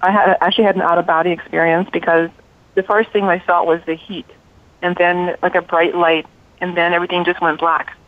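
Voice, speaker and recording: A woman in her forties from Liberia, a radio show guest calmly telling her life story over the phone. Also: on the low side, fairly quick, no echo. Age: 40s. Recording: tinny phone line.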